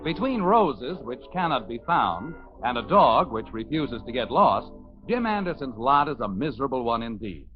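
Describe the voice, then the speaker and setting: A muffled vintage radio recording of an American male announcer with faint music behind him. He narrates comedy in a scripted 1950s style, with a lively, mid-pitched delivery. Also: moderate pace.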